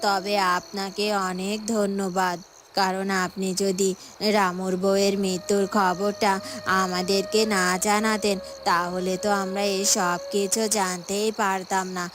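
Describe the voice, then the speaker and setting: A woman's voice: medium pitch, flat delivery, a little slow, close to an everyday voice. A woman voicing a concerned, trusting and respectful villager in a cartoon. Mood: respectful, earnest. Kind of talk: Bengali moral-story cartoon dubbing. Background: music.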